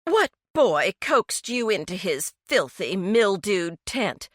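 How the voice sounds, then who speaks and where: A woman voicing a frantic, scolding middle-aged mother, high and shrill. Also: very animated, slow, dry recording, clean studio sound. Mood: outraged.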